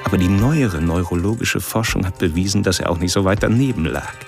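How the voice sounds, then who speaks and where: Low, creaky-voiced, coolly ironic man. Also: music in the background. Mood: wry, confiding. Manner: measured, wry, self-righteous. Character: polite, ironic, secretly sinister male narrator confiding his thoughts. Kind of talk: radio drama inner monologue.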